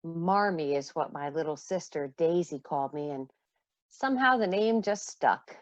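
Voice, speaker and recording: A woman's voice: deep voice, lively intonation, normal speed, dry recording, muffled recording. A woman playing a devoted foster mother, a retired singer, in a radio play. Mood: warm, reflective.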